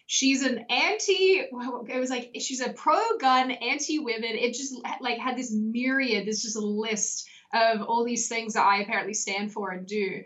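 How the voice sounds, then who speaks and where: A woman's voice: a little high, a little slow, few pauses, fairly bright tone. Aussie girl rambling thru stories in an interview, lots of likes and ums, kinda muffled audio. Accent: Australian. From Australia. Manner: chatty storyteller, frequent likes and ums. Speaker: interview guest. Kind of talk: casual interview chat. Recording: slightly dull lo-fi audio.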